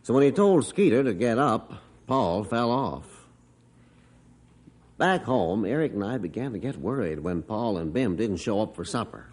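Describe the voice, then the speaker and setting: A man's voice: fairly low pitch, very animated, normal speed, a little mellow. An actor playing a middle-aged rancher father who tells his story in a folksy, matter-of-fact way in an old radio drama. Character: rancher father Mister Jorgensen, gruff storyteller. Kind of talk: 1950s radio drama narration.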